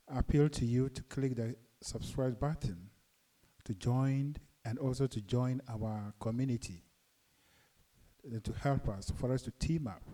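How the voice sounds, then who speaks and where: A man's voice: medium pitch, mellow, recorded from a distance, low-quality recording. A middle-aged man from Ghana with a Ghanaian accent, giving an earnest, emphatic lecture to his channel's viewers. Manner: earnest, deliberate, emphatic, rising on key points. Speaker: channel host and commentator. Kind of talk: lecturing monologue.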